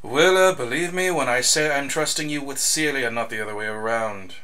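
A man's voice: mid-range voice, brisk, bright, forceful tone, smooth, natural voice. An actor playing an earnest, easily exasperated young man who plans a magical heist in an audio drama. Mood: firm.

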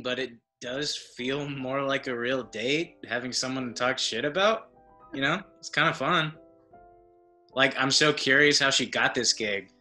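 A man's voice: mid-range voice, pushed and bright. A young man playing a bemused, easygoing guy on an awkward first date in a comedy sketch, speaking hesitantly and conversationally. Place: curtained room at home, on a video call.

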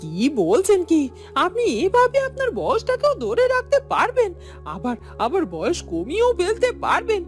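Shrill, greedy village schemer. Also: female voice, moderate pace, faint music behind, exaggerated voice. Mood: amazed. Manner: wheedling, excitable, repeats herself. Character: greedy, scheming, flattering village headman's wife. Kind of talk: Bengali fairy-tale cartoon acting.

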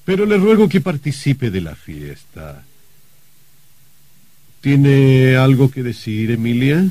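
Husky, gleefully menacing uncle. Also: male voice, mid-range voice, big pitch swings, dark tone, no echo. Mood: sly, jovial. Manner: theatrical, halting, sardonic. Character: gleeful, mocking, menacing uncle, a murdered novelist host. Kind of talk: melodramatic radio drama acting.

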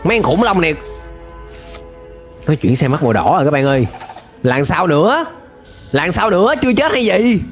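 A young adult man giving animated, exclamatory reaction commentary in slangy speech, close on a desk microphone. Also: a little high, fairly quick, long pauses, faint music behind. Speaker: reaction video host. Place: small indoor room, webcam setup.

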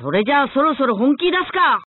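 A young adult male anime fighter, earnest and combative, for a video game. The voice sits high and leaps dramatically in pitch, delivered in short determined exclamations and battle cries. The clips sound thin and narrow, like a phone line. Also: very animated, fast talker, dry recording.

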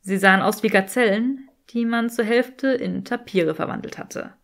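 A woman's voice: fairly high pitch, moderate pace, vocal fry. A woman reading a scripted fan fiction story as an audiobook narrator.

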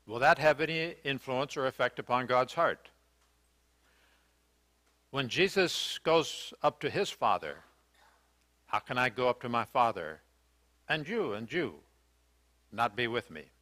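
An older man delivers a sermon from the front of a church, his voice bright and clear at a middle pitch. He asks the congregation earnest questions and pauses on fillers and repeated words as he thinks aloud. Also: expressive. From United States. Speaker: preacher. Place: church sanctuary, indoors.

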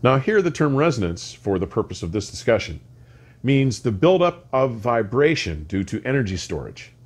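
An older man presenting a scripted technical explanation in measured, deliberate, emphatic phrases with precise wording. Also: mid-range voice, very animated. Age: older, around 60. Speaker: host of an audio explainer series. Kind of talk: scripted educational explanation to camera. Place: furnished home listening room, indoors.

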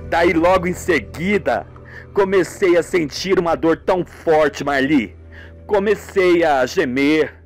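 A man playing a plaintive young male patient confiding over the phone in a radio soap. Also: a little high.

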